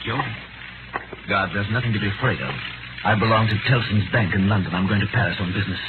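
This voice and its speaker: An actor playing a calm, businesslike English gentleman banker in a 1930s radio drama, composed and precise. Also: fairly low pitch, quick pace, lightly acted. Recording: noisy, tinny 1938 radio broadcast.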